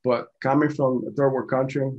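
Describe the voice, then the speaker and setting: Casting: male podcast guest, forties, mid-pitched voice, slightly muffled video-call sound. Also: level tone, moderate pace, darkish tone. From born in Venezuela, raised in Colombia. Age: 40s. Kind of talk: conversational personal storytelling. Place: small indoor home office. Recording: dull, lo-fi video call audio.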